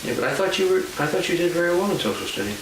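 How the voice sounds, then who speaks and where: A man gently interviewing a child at home, coaxing answers and laughing often. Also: sits high, expressive, racing. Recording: echoey room, far from mic, noisy.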